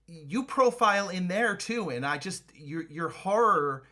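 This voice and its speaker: A man hosting a podcast in a casual interview. His voice is bright and a little high for a man, and he asks long, eager, rambling questions and heaps praise on his guest. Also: lively intonation, a little slow. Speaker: podcast host. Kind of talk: casual chat.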